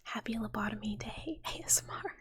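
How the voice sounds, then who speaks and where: A woman livestreamer chatting with viewers in a playful, cutesy ASMR style, in a breathy whisper. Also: mid-range voice, fairly quick.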